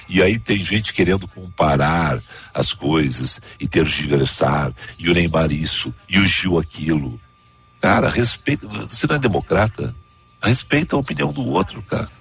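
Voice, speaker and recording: A man with an extremely deep, creaky voice and a southern Brazilian accent. He hosts a talk show with casual, emphatic opinions and frequent repetition. The sound is a little dull and muffled. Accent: southern Brazilian Portuguese. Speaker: radio talk show host. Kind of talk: casual opinionated on-air commentary.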